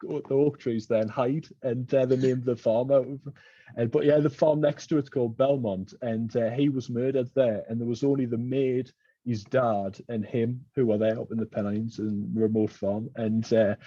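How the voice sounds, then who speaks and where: A man with a Geordie accent hosts a casual podcast chat, drifting through long local stories with plenty of ums and uhs. His voice is warm and mellow. The sound is close and dry, with a slightly dull, lo-fi edge. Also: medium pitch, fairly even intonation, moderate pace, dry recording. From Newcastle, England. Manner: rambling storyteller with many fillers. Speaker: podcast host.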